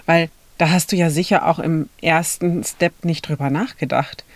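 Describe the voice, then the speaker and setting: A woman's voice: sits low, fairly even intonation, normal speed, dry recording. A woman hosting a casual podcast interview, listening attentively and asking questions. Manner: attentive, with many short agreeing sounds. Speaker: podcast host.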